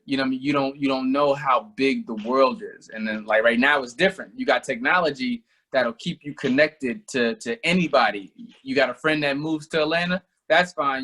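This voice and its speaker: A man's voice: mid-range voice, sharp tone. A man from Albany interviewing a guest, speaking loosely with many fillers. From Albany, New York. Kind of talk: casual conversation.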